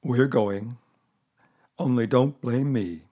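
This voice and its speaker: A man's voice: mid-range voice, slow pace, dark tone, slightly rough. A man voicing a mournful, put-upon, grumbling male donkey in a children's storybook. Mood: resigned. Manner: mournful, aggrieved.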